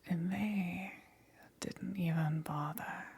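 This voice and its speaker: Woman acting a whispering, very slow demon queen. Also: fairly low pitch, fairly even intonation, full character voice. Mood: wistful, matter-of-fact. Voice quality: breathy, whispered. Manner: slow, soft, coaxing, with long pauses. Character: powerful demon queen, woman, calmly menacing yet gentle. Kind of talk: whispered ASMR roleplay.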